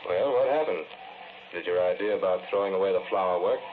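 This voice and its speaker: An actor playing a sceptical, plain-spoken escort in a 1940s radio drama, with a blunt, terse, questioning delivery. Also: male voice, low voice, fairly quick, narrow, tinny audio, natural voice. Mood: sceptical, probing. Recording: thin, noisy 1940s radio recording.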